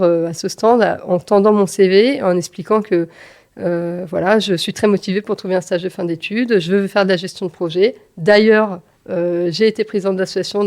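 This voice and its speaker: A woman in her thirties tells personal stories conversationally as an interview guest, with halting phrasing and repeated words. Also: medium pitch, darkish tone. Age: 30s. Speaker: podcast guest, engineer and manager.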